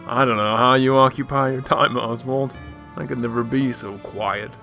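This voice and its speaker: An actor playing a breezy, naive-seeming rich socialite with a casual, affable manner in a radio drama. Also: male voice, medium pitch, fairly even intonation, moderate pace, vocal fry, bright, forceful tone, soft background music. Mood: lightly dismissive.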